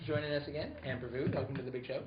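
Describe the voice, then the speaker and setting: A man's voice: mid-range voice, steady tone, very fast, crowd noise. Man, casual host banter, rambling and jokey, roomy echo, dull sound. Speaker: host of a live radio-play show. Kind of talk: casual banter and show introduction. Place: indoor room with group. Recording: echoey room, muffled, heavy noise.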